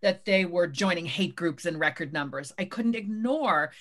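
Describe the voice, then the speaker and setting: A woman moderating a book conversation, measured and thoughtful with frequent hesitations. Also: fairly low pitch, lively intonation. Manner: measured, many um and uh fillers. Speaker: interviewer and moderator.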